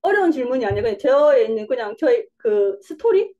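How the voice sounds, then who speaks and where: A woman's voice: high voice, very animated, brisk, dull audio, crowd noise. A young woman with a Busan dialect, answering interview questions casually, rambling a little and laughing often. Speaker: interview guest, coaching student.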